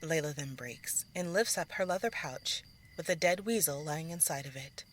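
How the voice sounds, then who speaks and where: A woman storyteller reading scripted fiction narration in a measured, theatrical manner. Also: deep voice, a little hiss or hum.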